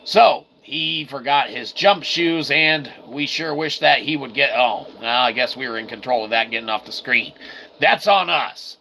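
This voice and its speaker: A man's voice: mid-range voice, creaky, sharp tone, a little hiss or hum, rough recording. A man hosting a casual, rambling game livestream in a jokey, mock-earnest showman manner. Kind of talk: casual rambling let's-play commentary.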